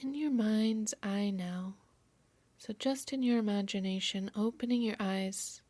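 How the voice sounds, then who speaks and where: A woman yoga nidra instructor softly narrating a guided meditation in a soothing, measured, breathy voice. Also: mid-range voice, no echo. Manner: soft, soothing, measured delivery.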